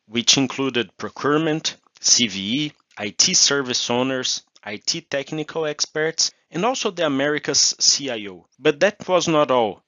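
A man in his thirties from Brazil answers matter-of-factly, listing things off. His voice is bright and forceful. The recording is dull and dry. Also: mid-range voice, no echo. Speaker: guest. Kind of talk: corporate interview.

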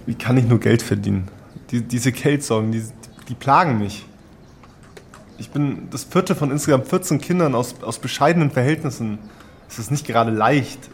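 A man's voice: mid-range voice, moderate pace, a little hiss or hum, natural voice. A man playing an earnest, brooding nineteenth-century Prussian inventor in a formal, declamatory audio drama monologue. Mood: worried, brooding.

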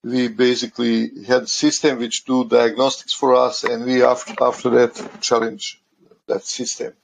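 A middle-aged man from Croatia, a guest speaking haltingly in a podcast interview, often pausing to search for words. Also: medium pitch, completely flat delivery, vocal fry, a little hiss or hum, poor audio. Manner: halting, searching for words in non-native English. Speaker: guest, asset condition manager.

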